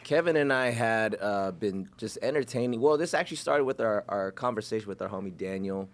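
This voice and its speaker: A man's voice: fairly low pitch, a little fast. A man, a podcast guest, talking in a laid-back, casual way. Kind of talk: casual chat.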